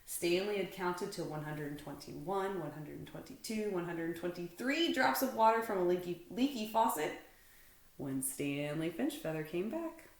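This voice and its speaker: A woman's voice: fairly low pitch, expressive, unhurried. A woman reading a children's storybook aloud expressively, like a teacher to pupils. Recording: roomy indoor echo.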